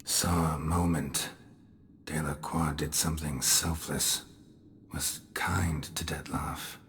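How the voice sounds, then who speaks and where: An actor playing a gruff, laconic monster hunter in a video game, with a gravelly, hushed voice and deadpan, clipped delivery. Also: male voice, a bass voice, takes it slowly, a little hiss or hum, heavily acted. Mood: grave, pensive. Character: gruff, laconic male monster-hunting mercenary, world-weary and unflappable. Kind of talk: video game cutscene acting.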